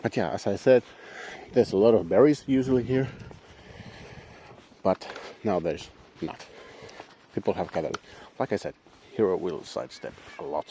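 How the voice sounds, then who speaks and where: A low-voiced man with a Finnish accent talks his way along a swamp boardwalk, his English halting and dotted with wry, self-deprecating asides. Faint outdoor sounds hum behind the handheld camera audio. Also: a little mellow. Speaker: vlogger walking his dogs. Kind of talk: casual walk-and-talk narration. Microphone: handheld camera mic.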